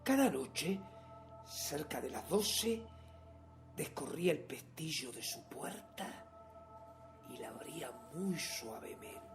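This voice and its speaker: An actor playing a nervous, obsessive madman who insists he is sane, in a theatrical, halting radio drama monologue that swings between whispers and outbursts. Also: high voice, sing-song, gravelly, a little mellow, soft background music, heavily acted. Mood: hushed, furtive.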